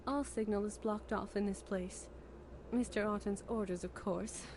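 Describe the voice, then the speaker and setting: A woman plays a distressed, numb woman in a visual novel. Her pitch is ordinary for a woman and she sounds shaken, with clear noise behind her. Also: steady tone, moderate pace, close to an everyday voice. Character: numb, trembling, distressed woman, obedient to orders.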